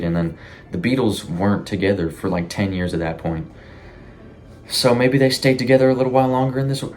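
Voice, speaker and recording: A man giving casual, rambling, informal commentary as a YouTube host, with animated reactions. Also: low voice. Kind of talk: casual commentary on a horror series.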